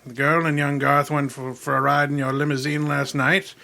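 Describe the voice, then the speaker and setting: An actor playing a brusque, skeptical private detective who questions with authority in an old-time radio mystery. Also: male voice, a little high, level tone, vocal fry, sharp tone. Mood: probing, skeptical. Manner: brisk, commanding, skeptical.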